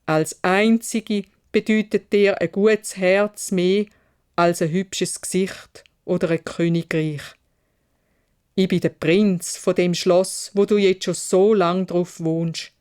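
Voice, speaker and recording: A loving young prince played by a woman storyteller speaks in Swiss German. The delivery is slow, earnest and emphatic, reassuring in the manner of a children's fairy tale. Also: on the low side, fairly even intonation, a little mellow, smooth. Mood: earnest, joyful. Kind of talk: storybook character voice.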